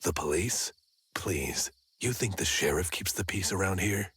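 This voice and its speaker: An actor playing a paranoid, self-important neighborhood watch man in a video game, raspy, terse and suspicious. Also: lively intonation, measured pace, a bit bright, no room sound, heavily acted. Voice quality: very deep gravelly rasp.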